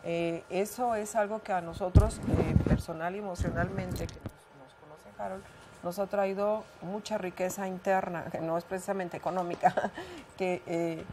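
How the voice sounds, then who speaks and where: A woman with a Mexican accent and a fairly low voice, earnestly explaining her work as a radio guest, with many hesitations and fillers. A faint background noise sits behind her speech. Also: normal speed. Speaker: guest, Watsu practitioner. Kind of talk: radio interview answers.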